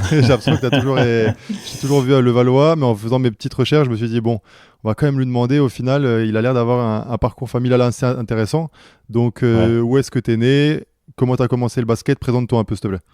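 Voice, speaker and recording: A man's voice: medium pitch, steady tone, fast talker, warm tone, clean tone, no echo, clean studio sound. A young man hosting a basketball podcast, chatting casually and friendly with lots of fillers and restarts. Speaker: podcast host.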